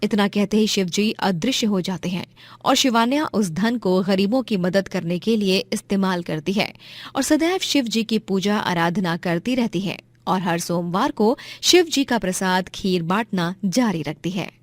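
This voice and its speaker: A woman's voice: mid-range voice, animated, a little slow, no room sound. A woman narrating a scripted moral story as a storyteller.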